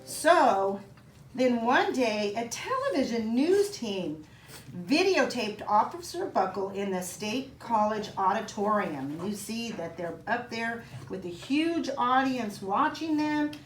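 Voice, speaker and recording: A woman reading a children's picture book aloud in an expressive, child-directed way, with chatty asides to young listeners. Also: on the high side, slow, faint music behind. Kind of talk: animated storybook read-aloud for children with casual asides. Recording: roomy echo, some background noise.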